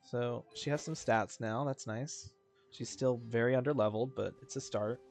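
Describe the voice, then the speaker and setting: A man doing casual, informal gameplay commentary on a livestream, thinking out loud and swearing freely. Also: medium pitch, moderate pace, vocal fry. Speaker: game streamer. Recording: game music behind the voice. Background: video game music.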